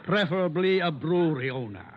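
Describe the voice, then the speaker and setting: An actor playing a florid, thirsty drunk informant in an old radio crime drama, speaking in pompous, theatrical phrases. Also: male voice, a little high, lively intonation, a little fast, lightly acted. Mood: pompous, droll. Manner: pompous, flowery, theatrical speech. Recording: dull lo-fi old radio recording.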